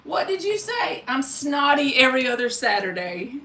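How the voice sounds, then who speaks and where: A woman with a fairly high, bright, forceful voice reads tarot on a casual livestream. She talks informally and directly to her viewers. The sound is roomy and a little distant from the mic. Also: big pitch swings, normal speed. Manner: informal, chatty, addresses viewers by name, mild swearing. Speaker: tarot card reader and livestream host. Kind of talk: casual livestream chat with spiritual tarot readings.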